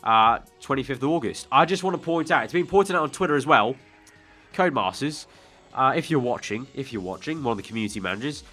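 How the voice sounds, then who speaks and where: A man's voice: mid-range voice, lively intonation, quick pace, pushed and bright. Man doing casual gaming commentary over music. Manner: enthusiastic, informal, repeats phrases for emphasis. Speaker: gaming video host. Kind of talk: casual trailer breakdown commentary.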